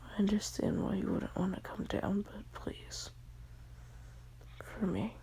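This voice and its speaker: A woman's voice: mid-range voice, takes it slowly, creaky. Woman whispering as a devoted angelic young woman. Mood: pleading. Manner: whispered, gentle delivery.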